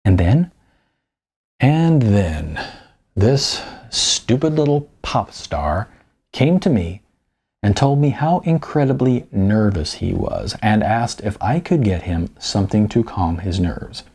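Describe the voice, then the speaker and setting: A man voicing a weary, self-deprecating writer of almost fifty, halting and sardonic, in an audiobook. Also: on the low side, lively intonation, mellow, husky, heavily acted. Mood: sheepish, defensive.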